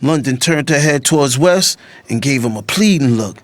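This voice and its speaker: Actor as calm young man, casual phrasing. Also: mid-range voice, moderate pace, no echo, natural voice. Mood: reflective. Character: calm, self-sacrificing young man, loyal brother. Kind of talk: first-person dramatized audiobook narration.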